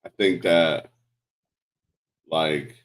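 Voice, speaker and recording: A man's voice: a bass voice, fairly even intonation, very slow. A man from Puerto Rico, raised in New York, talking casually and loosely, with many fillers and some swearing. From born in Puerto Rico, raised in New York. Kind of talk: casual conversation.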